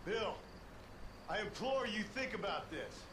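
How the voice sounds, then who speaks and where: A man's voice: sits high, animated, a little slow, noisy background. A man playing an earnest, guarded Old West gunslinger and former outlaw in a video game. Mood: pleading, urgent. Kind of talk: video game cutscene acting.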